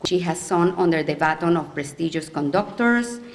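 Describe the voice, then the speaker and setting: A woman's voice: low voice, normal speed. A woman presenting performers at a ceremony, steadily reading a prepared biography. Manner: reads a prepared bio, steady. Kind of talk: reading a formal introduction.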